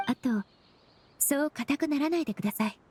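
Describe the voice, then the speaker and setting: Woman playing a composed, polite young investigator, higher voice. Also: darkish tone, slight background noise, lightly acted. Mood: calm, courteous. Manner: formal, measured politeness. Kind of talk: anime game voice acting.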